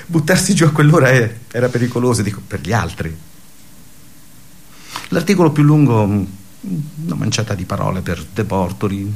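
Low-voiced, bitterly ironic male office worker. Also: slight background noise. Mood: bitter, agitated. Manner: halting, ruminating, conversational with sudden emphatic bursts. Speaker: actor. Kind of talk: radio theatre monologue.

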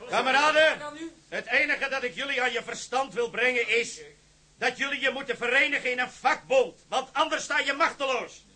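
An actor playing a fiery union agitator rallying seamen in a radio drama with declamatory, sloganeering oratory. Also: male voice, very high voice, moderate pace, pushed and bright, exaggerated voice. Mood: insistent. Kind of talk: radio drama acting, political speech.

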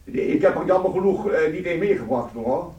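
Stuffy over-polite office official in a radio drama, husky voice, formal and pompous, hems and haws a bit. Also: male voice, fairly high pitch, lively intonation, a little fast, darkish tone, poor audio. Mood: flustered, straining. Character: ceremonious, fussily polite male company official. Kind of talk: radio play acting.

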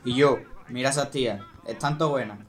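A man's voice: mid-range voice, brisk, natural voice. A teenage male student with an Andalusian accent playing a brash, goading young footballer in an amateur radio play. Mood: leering. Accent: Andalusian Spanish. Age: teens. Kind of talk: amateur radio drama acting.

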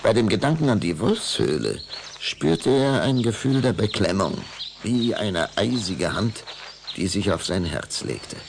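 A man's voice: on the low side, sing-song, unhurried, vocal fry, noisy background. A man narrating a children's audio drama in German as a suspenseful storyteller.

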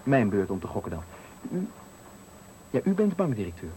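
An actor in a Dutch radio play playing a taunting, menacing stranger who speaks with deliberate, needling politeness in a Netherlands accent. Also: male voice, fairly low pitch, very animated, dark tone. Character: menacing, taunting male stranger cornering a man at night. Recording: faintly noisy 1980 radio recording.

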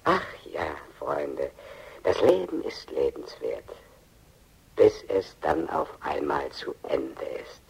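A man's voice: deep voice, gravelly, darkish tone, husky, heavily acted, low-quality recording. An actor playing a menacing extortionist in a radio crime drama, mocking his victims with savoured mock-politeness. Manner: sardonic mock-politeness, savouring each threat.

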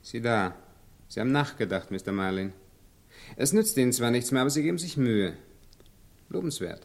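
Male actor as suave gang guru, mid-pitched voice, measured, courteous yet condescending, radio noir crime drama. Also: lively intonation, natural voice. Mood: suave, musing.

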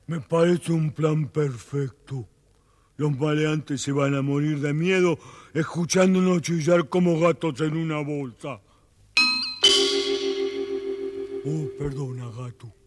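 Easygoing, higher-voiced storybook dog. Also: male voice, fairly even intonation, unhurried, darkish tone. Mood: agreeable. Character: good-natured, easygoing male dog, loyal companion. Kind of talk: children's audio storybook acting.

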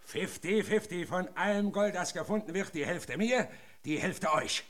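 An actor in a German radio drama playing a sly, greedy, aging foreman, rough-spoken, taunting and insistent. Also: high-pitched, moderate pace, fairly bright tone.

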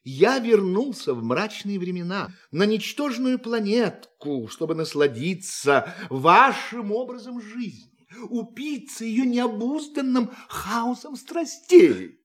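An actor playing a playful, condescending alien visitor in a radio play, with mock courtesy and a theatrical manner. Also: male voice, sits high, very animated, takes it slowly, a bit bright, heavily acted. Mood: smug, mocking. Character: playful, condescending alien visitor who relishes human violence. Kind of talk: Russian radio play acting.

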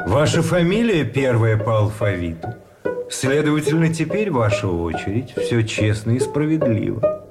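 An actor playing a pedantic, wordy gentleman prisoner of war in a radio play, reasoning in a measured way. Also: medium pitch, close to an everyday voice. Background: instrumental music.

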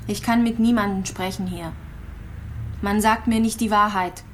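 An actress playing a lonely, gravely ill clinic patient in a radio drama, speaking plainly and wistfully. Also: female voice, medium pitch, fairly even intonation, normal speed, slight background noise, natural voice. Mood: resigned, frank. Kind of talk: naturalistic radio drama monologue.

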